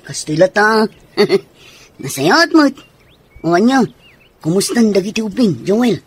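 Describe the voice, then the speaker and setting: A woman's voice: medium pitch, very animated, a little slow, a little hiss or hum. A woman playing a friendly, cheerful tomboy in an Ilocano radio drama.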